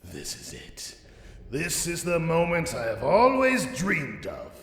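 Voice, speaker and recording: Male villain warlord for fantasy audio drama: taunting, drawn-out, huge swings of pitch, reverberant with music behind. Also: wildly swooping pitch, gravelly, a bit bright, heavily acted. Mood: menacing, triumphant. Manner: taunting, theatrical, drawn-out delivery. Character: gloating male villain warlord with clawed hands. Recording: echoey long reverb, music underneath.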